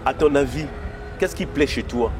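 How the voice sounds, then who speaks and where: A man's voice: mid-range voice, normal speed, over music. A man interviewing a singer, asking friendly questions and prompting with short murmurs. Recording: outdoor street noise behind.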